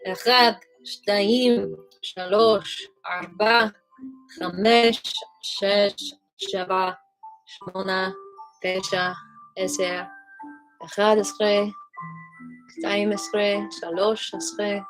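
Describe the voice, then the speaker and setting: A woman's voice: mid-range voice, fairly even intonation, moderate pace, pauses often, rough recording. A woman teaching a live online qigong class, playful and chatty, counting aloud and sometimes dropping into a whisper. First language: Hebrew. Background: background music.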